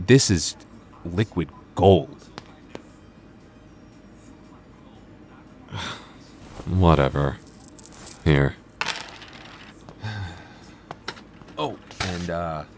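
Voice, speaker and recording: Guy acting as a super deep voiced young vampire coworker, chill n teasing, kinda stammery, asmr roleplay, noisy background. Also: darkish tone. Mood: playfully indignant. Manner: hesitant, stammering, dryly teasing. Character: teasing, laid-back young male vampire convenience-store night-shift coworker. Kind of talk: ASMR roleplay, intimate casual dialogue.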